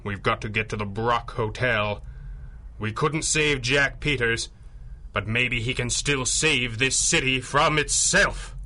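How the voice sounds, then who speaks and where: An actor playing a stern, commanding masked superhero in a 1930s-style radio drama, grave and authoritative. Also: male voice, medium pitch, slow pace, lightly acted. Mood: urgent, grave.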